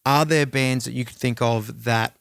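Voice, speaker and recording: A man's voice: medium pitch, steady tone, normal speed, gravelly, fairly bright tone, clean tone, no room sound, clean studio sound. A man co-hosting a casual music discussion, talking in an informal, rambling and opinionated way. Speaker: podcast co-host.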